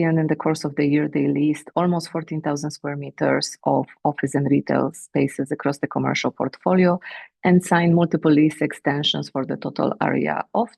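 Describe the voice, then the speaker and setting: A woman with a Romanian accent presenting company sales figures on an earnings call, measured and pausing often with fillers. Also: sits low, vocal fry, a little mellow, husky, dry recording. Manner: measured, with frequent filler pauses. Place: remote teleconference.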